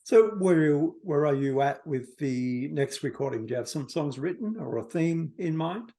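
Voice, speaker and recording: A man's voice: fairly high pitch, expressive, normal speed, dark tone. A man asking casual interview questions as a music magazine editor on a video call.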